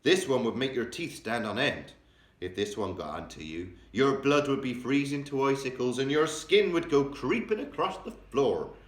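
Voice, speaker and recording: A man voicing an excitable old male giant who mangles his grammar, invents words and bursts into loud exclamations. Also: medium pitch, normal speed, roomy sound, pitched above the performer's own voice, lightly acted. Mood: explaining.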